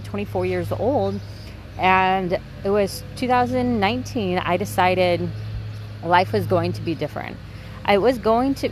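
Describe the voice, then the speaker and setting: A woman in her twenties hosting her own podcast, talking in a candid, chatty way in a soft, quiet voice. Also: lowish voice, slight background noise, poor audio. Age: 20s. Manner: chatty and candid, with light swearing. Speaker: podcast host. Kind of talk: casual solo podcast monologue.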